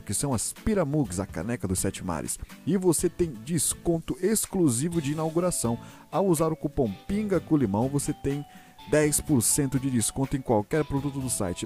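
A man's voice: fairly high pitch, mellow. A man with a Brazilian accent delivering a scripted promotional ad read as an announcer. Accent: Brazilian Portuguese. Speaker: advertisement announcer. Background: music bed.

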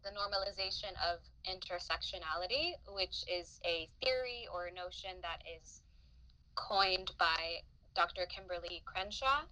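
A young woman from the Philippines speaks as a podcast guest, picking her words with care and often pausing on fillers. Her voice sits a little low but sounds bright and forward. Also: poor audio. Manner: thoughtful, with frequent fillers and restarts. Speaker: podcast guest, psychotherapist in training. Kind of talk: reflective interview talk.

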